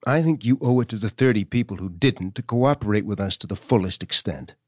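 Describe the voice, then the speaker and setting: An actor playing a stern, formal government doctor reading out his correspondence in a measured, condescending way for an audio drama. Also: on the low side, no room sound, studio quality, lightly acted. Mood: measured, warning.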